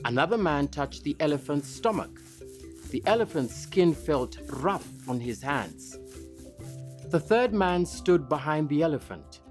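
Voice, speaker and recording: A low-voiced woman narrates a children's storybook at an unhurried pace. Her pitch moves in a lively way through the scripted tale, and music plays behind her.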